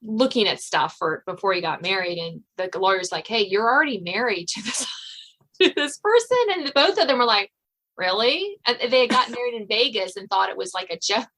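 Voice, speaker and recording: An American woman hosting a casual interview about writing, talking in an animated, conversational way. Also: mid-range voice, a little fast. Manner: animated, conversational, quotes others' reactions in dialogue. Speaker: podcast host and suspense novelist. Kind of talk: casual author interview chat.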